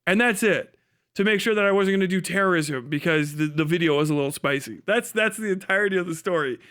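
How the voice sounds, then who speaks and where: High-pitched man, casual profane streamer. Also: fast, a bit bright. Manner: incredulous, mocking, heavy swearing and slang. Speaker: livestream host reacting to a video. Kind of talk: casual profane reaction commentary.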